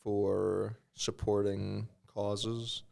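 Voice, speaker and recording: Gravelly-voiced man riffing on a podcast. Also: lowish voice, level tone, drawn-out words, darkish tone. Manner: joking, riffing on bits, laughs often. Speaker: podcast co-host. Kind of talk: casual comedy chat.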